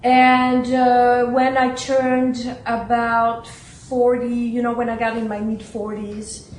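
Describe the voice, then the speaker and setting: A middle-aged woman from Switzerland, a guest talking about her work as a trainer and author in an eager, lively way with quick laughs. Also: on the high side. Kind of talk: interview answers. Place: studio. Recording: echoey studio room, faint noise.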